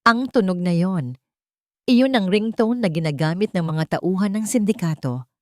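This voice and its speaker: A woman's voice: lowish voice, normal speed, darkish tone, no echo, studio quality. A woman reading scripted narration in a dramatic storytelling style.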